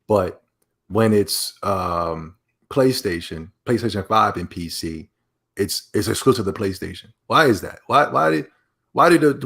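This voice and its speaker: A man hosting a podcast in casual chat, rambling and excitable as he reacts to videos and reads donations. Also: on the low side. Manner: rambling, loose and excitable, with many repeats. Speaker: podcast host.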